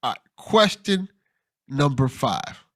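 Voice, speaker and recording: A man hosts a relaxed podcast interview in a very animated voice that moves freely up and down. He announces things with playful drama and laughs easily. The sound is dry, with no room echo. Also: medium pitch, slow pace. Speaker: podcast host.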